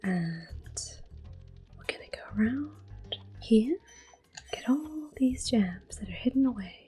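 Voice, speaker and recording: A woman giving gentle, unhurried ASMR gameplay commentary in a soft, whispered voice, reassuring and close to the microphone. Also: fairly high pitch, lively intonation, faint music behind. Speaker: ASMR gaming host.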